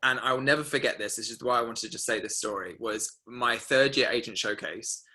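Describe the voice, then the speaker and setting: A young man hosting a class, telling a rambling story full of fillers and lively quoted asides. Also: medium pitch, fairly quick. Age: young adult. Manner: rambling, filler-heavy, animated retelling. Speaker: masterclass host. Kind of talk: casual storytelling to an online class.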